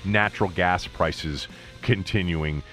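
A man's voice: sits low, slow, fairly bright tone, faint music behind. A man hosting a sports talk show, speaking in an emphatic way and stretching out key words. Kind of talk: sports commentary with ad read.

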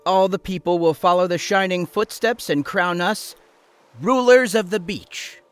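A man's voice: high voice, measured pace, smooth, no echo, soft background music, voice pushed up. A male narrator voicing an imaginative, bookish young boy, earnest and dreamy.

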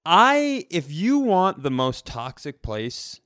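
A man's voice: a little high, wildly swooping pitch, takes it slowly, a bit bright, dry recording, studio quality. A man co-hosting a comedy podcast, chatting casually in a rambling, bantering storyteller's way.

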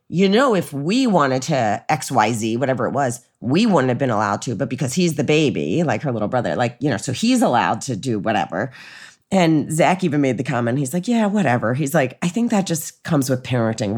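A middle-aged American woman co-hosting a podcast, telling casual anecdotes in an animated way and often acting out quotes. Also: very deep voice. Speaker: podcast co-host. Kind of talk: casual conversational chat, anecdotes.

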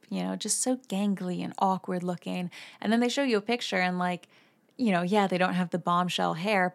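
A woman's voice: fairly low pitch, vocal fry. Chatty young woman host. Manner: chatty, swears, quick asides and laughs. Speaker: podcast host. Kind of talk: casual gossip chat.

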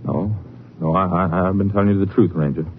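A man's voice: sits low, brisk. An actor playing an evasive, nervous small-town feed barn man with a stammering, folksy manner in a 1950s radio drama. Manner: stammering, hedging, folksy grammar. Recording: tinny, noisy old radio recording.

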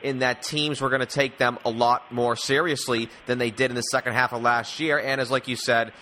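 A man with a bright, forceful voice hosts a conversational sports show. He talks at a medium pitch, with faint background noise around the voice. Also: level tone, fairly quick. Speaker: sports podcast host.

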